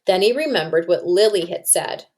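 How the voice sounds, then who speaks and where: A woman's voice: on the low side, animated, moderate pace, a little mellow. A woman reading a storybook aloud to children and explaining it like a teacher, with questions to the listeners.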